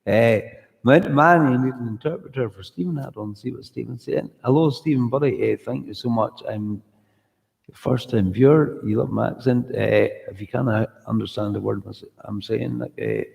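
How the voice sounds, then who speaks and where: A man from the north of Scotland with a Highland Scottish accent, chatting casually with livestream viewers in a rambling way full of "uh" fillers. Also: moderate pace, vocal fry, darkish tone, long reverb tail, poor audio. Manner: rambling, lots of "uh" fillers, friendly asides. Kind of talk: casual chat with live viewers.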